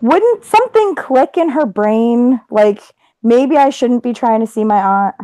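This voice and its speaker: A woman with a slightly high voice talks casually about a book on an online call. She is informal and questioning, and her sound is very dry. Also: animated, measured pace, dark tone, no echo, poor audio. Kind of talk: casual book club chat.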